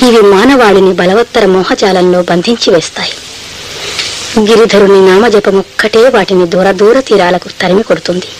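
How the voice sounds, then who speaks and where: A woman's voice: medium pitch, close to an everyday voice. A woman playing a humble, devout saint in a radio play, speaking in a solemn, formal, devotional manner. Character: humble, devout female saint and servant of God. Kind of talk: Telugu radio play acting. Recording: noisy old radio play recording.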